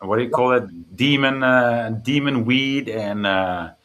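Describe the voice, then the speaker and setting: A livestream host chatting casually and reacting in a blunt, animated way. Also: mid-range voice, unhurried, dull audio.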